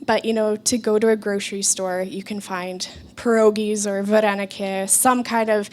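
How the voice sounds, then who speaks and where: A woman's voice: medium pitch, little pitch movement, moderate pace. Thoughtful young woman on a panel. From Canada. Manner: earnest, thoughtful, with frequent ums. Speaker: round table panelist. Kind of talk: reflective personal account.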